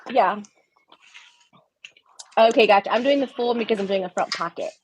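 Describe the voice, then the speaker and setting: A relaxed, friendly woman who laughs easily as she chats. She sits in a shared office at a sewing school, talking into her computer mic with a faint hiss of noise behind her. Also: medium pitch, moderate pace, low-quality recording. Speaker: sewing instructor, guest on a live stream. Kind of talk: casual live-stream chat. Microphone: computer mic on a video call.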